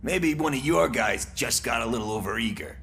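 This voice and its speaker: A man playing an evasive, defensive schemer in a video game, creaky-voiced and hedging as he talks. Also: mid-range voice, lively intonation, a little fast, fairly bright tone, soft background music.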